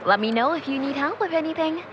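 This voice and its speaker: Polite female retail clerk, high lilt, audio drama, muffled with background noise. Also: animated, quick pace. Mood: helpful. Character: polite, chirpy clothing-store clerk. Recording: muffled, distant, with clear ambient noise.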